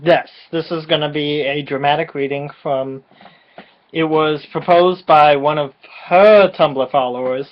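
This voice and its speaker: A man's voice: on the high side, fairly even intonation, unhurried, dull audio, slight background noise. A slightly tipsy man bantering casually and reading aloud for comic effect in a crude, teasing, informal way. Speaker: co-host of a dramatic reading video.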